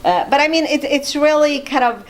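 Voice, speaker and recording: A woman's voice: high voice, moderate pace, slight background noise. A middle-aged woman from Jordan, talking conversationally as an interview guest about her own life. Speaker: interview guest, immigration attorney.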